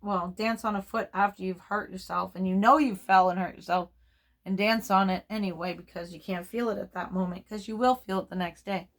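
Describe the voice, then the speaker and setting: A woman in her fifties talks in a loose, rambling way, her voice hoarse and froggy from strain. She laughs often as she tells a story. She is recorded at home, off camera. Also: medium pitch, fairly even intonation, brisk. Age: 50s. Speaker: reborn doll vlogger. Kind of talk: casual chatty storytelling.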